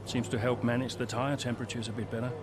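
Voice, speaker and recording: Measured veteran racing driver, played by a man, outdoor noise. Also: medium pitch, flat delivery, lightly acted. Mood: sincere. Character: veteran male racing driver, weary, sincere, guarded. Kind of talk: naturalistic video game cutscene acting.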